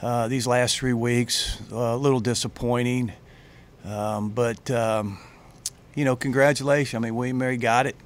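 A middle-aged man, a head football coach, answering questions at a postgame press conference in plain, halting speech full of fillers and restarts, into a table mic. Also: medium pitch, steady tone. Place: press room with branded backdrop. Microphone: table mic on a stand.

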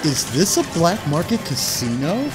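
A man's voice: a little high, very animated, moderate pace, over music. A man playing a nervous, polite dinner guest out of his depth, hesitant and stammering, in an audio comedy sketch. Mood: wary.

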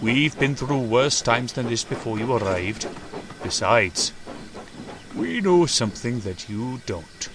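A man's voice: medium pitch, slow, noisy background. A man voicing a sleepy, reassuring old male steam engine in a children's railway storybook. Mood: sleepy reassurance. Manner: sleepy, calm.

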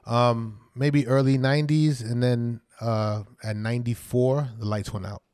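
Lively New York man telling old DJ stories. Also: medium pitch, a little slow, clear, resonant tone. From Brooklyn, New York. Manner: animated, profane storytelling with bursts of emphasis. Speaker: guest DJ. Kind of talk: casual podcast storytelling. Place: small podcast studio. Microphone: studio mic close up, wearing headphones.

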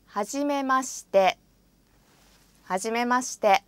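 A woman's voice: on the high side, fairly bright tone, dry recording. Woman modeling phrases, said twice. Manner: careful, deliberate pronunciation. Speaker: language course speaker modeling phrases. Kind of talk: slow, repeated example phrases. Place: studio.

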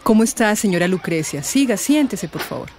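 A woman's voice: medium pitch, sing-song, brisk, over music. A woman with a Colombian accent playing a concerned, tactful veteran schoolteacher in a radio drama, speaking carefully and earnestly. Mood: courteous.

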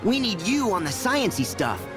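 A man's voice: sits high, very animated, normal speed. A man playing a kind, eager teenage boy hero in a video game, speaking earnestly and wholeheartedly. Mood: curious, willing. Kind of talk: animated video game cutscene acting. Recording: music behind the voice.

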